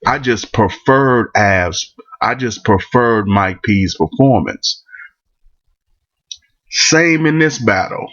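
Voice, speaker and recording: A man's voice: fairly low pitch, animated, takes it slowly, a bit bright, muffled recording. A man with a cold, rambling bluntly through his opinions in a casual monologue. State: catching a cold. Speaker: vlogger giving battle rap opinions.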